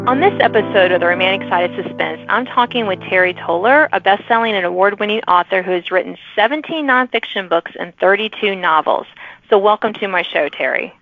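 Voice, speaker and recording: Female interviewer, conversational and chatty, mid-pitched voice, dry narrowband recording. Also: brisk, soft background music. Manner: chatty, laughs easily. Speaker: podcast host. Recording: narrow phone-like sound, dry.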